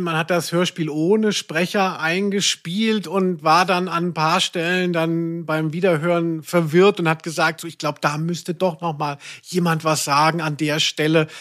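A man's voice: on the high side, fairly bright tone. A man co-hosting a podcast, discussing audio plays casually in a wordy, hesitant way. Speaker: podcast co-host.

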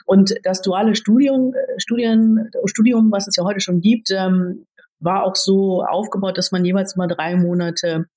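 Woman, forties, podcast guest, middle pitch, measured, thoughtful, frequent fillers. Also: steady tone, mellow. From born in the Philippines, raised in Germany. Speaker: podcast guest, tech executive. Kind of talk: conversational interview answers.

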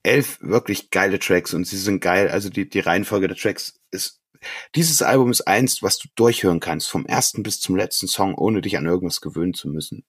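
Low husky guy gushing about music on a podcast, slangy and emphatic, really dry sound. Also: brisk, no echo. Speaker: podcast co-host. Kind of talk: casual music discussion.

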